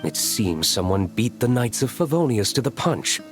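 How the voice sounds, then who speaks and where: A man's voice: mid-range voice, very animated, normal speed, close to an everyday voice. A man voicing a reserved, curt young nobleman vigilante in a video game, cool in temper. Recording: game music behind the voice.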